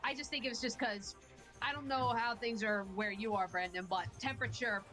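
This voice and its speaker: A woman's voice: on the high side, a little fast, pushed and bright, faint music behind. A woman from Ohio with a nasal, stuffed-up voice from a cold, chatting casually on a stream. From Ohio, USA. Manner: chatty, uses lots of fillers. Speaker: stream co-host. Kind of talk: casual chat.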